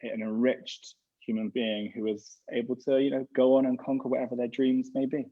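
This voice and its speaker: Rabbi on a muffled video call. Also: male voice, mid-range voice, little pitch movement, normal speed. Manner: rambling, restarts often. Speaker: rabbi representing a gap year program. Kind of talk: casual interview answers. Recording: dull lo-fi sound.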